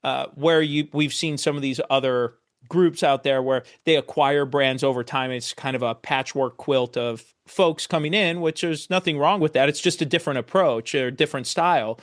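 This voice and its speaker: A man hosting a conversational webinar interview, talking in a halting, roundabout way as he works toward his point. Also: mid-range voice, no room sound, clean studio sound. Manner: hesitant, halting, roundabout phrasing.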